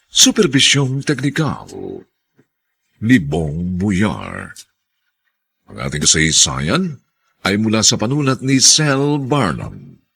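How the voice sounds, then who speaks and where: A man with a Filipino accent and a gravelly voice, announcing a radio drama in dramatic scripted narration. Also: fairly low pitch, a bit bright.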